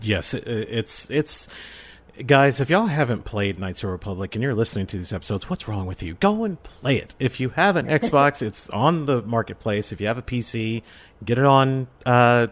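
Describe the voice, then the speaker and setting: A man with a medium-pitched voice talks casually as a podcast speaker. He addresses listeners directly and emphatically, urging them along in a conversational way. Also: expressive, normal speed. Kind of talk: casual chat.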